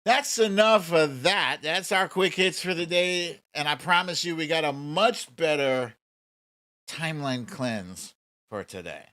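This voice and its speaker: A man's voice: on the high side, unhurried, pushed and bright. A man hosting a live stream in casual, playful commentary, chatting to viewers in slangy phrases that he often repeats.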